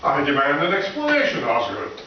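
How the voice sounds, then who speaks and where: A man's voice: on the high side, wildly swooping pitch, a little fast. A man playing a stern, indignant head of a school board in a comic live radio play. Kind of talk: comic old-time radio play acting. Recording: echoey hall from far off, dull, hissy.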